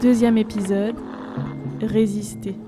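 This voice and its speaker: A woman's voice: mid-range voice, flat delivery, slow. A woman reading scripted episode announcements and credits over accordion music.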